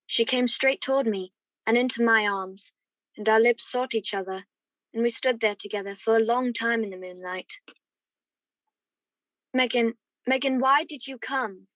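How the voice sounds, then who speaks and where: A woman playing an earnest, lovestruck young gentleman who narrates his romance in a radio play. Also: a little high, normal speed, pauses often, dry recording, lightly acted, low-quality recording. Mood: tender, ardent.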